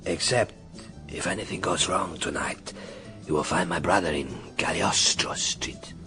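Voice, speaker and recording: A solemn stage illusionist in a radio play. His voice is very deep, with a grave, formal and foreboding manner. Soft music sits behind him. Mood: solemn, ominous. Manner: grave, formal, deliberate wording. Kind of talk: radio drama acting.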